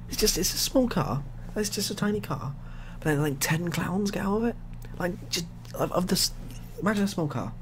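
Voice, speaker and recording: Softly spoken rambling man, noisy recording. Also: fairly high pitch. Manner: rambling, repetitive, deadpan dark humour. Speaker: ASMR storyteller. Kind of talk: softly spoken improvised storytelling, ASMR. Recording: clearly audible background noise.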